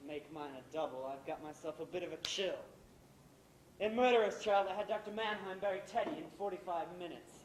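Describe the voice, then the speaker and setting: A smug middle-aged husband and playwright played by a man on stage, coolly ruthless and theatrically sardonic. The voice rings in an echoey room with some background rumble, like an amateur recording of a live play. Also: a little fast, lightly acted.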